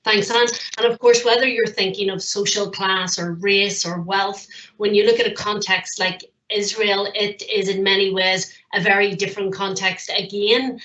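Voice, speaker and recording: A woman chairs a panel and hands over to each speaker with easy, flowing questions and small restarts. Her voice is mid-pitched. The sound is roomy and dull. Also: fairly even intonation, normal speed, a bit bright. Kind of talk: moderating a panel.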